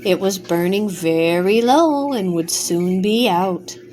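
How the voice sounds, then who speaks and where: An older woman reading a bedtime story aloud with an expressive storytelling cadence. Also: medium pitch, slow pace, slight background noise.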